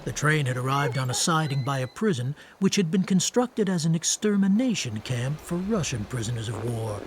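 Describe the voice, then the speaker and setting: A man's voice: a little high, big pitch swings, normal speed. Matter-of-fact male radio drama narrator. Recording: faint ambient noise behind.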